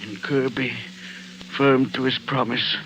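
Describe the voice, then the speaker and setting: Slow-spoken, haunted graveyard witness. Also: male voice, medium pitch, steady tone. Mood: somber. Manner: halting, dramatic, hushed storytelling. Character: haunted, fearful man narrating his graveyard encounter. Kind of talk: 1940s radio mystery acting. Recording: dull lo-fi old radio recording, music.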